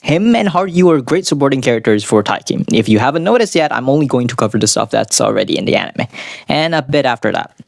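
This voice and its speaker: A man's voice: mid-range voice, lively intonation, quick pace, creaky, no room sound, studio quality. A man giving casual, opinionated video essay commentary, informal and sweary, with dramatic asides. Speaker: anime reviewer. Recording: background music under voice. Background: music.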